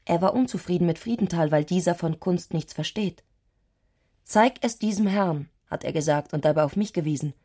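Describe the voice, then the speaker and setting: A woman's voice: on the low side, normal speed, mellow, natural voice. An actress playing an intense, headstrong and eccentric young woman in a radio play, speaking in an earnest, insistent manner.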